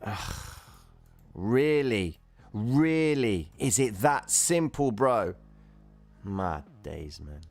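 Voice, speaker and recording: A man's voice: lowish voice, sing-song, extremely slow, gravelly, pauses often, a little mellow. A man hosting a livestream, casually explaining chart trading and chatting with viewers who comment. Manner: chatty, reads viewer chat, calls viewers guys and bro.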